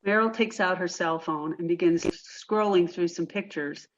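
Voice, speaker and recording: A woman's voice: lowish voice, normal speed, highs cut off. A woman reading stage directions as the narrator of a play, in scripted narration.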